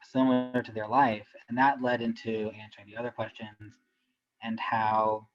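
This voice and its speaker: A man reporting back from his discussion group on a video call, speaking haltingly with many fillers. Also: medium pitch, little pitch movement, faint music behind. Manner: halting, many fillers, slow deliberate phrasing. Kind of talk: reporting back in an online seminar. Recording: dull lo-fi video call audio.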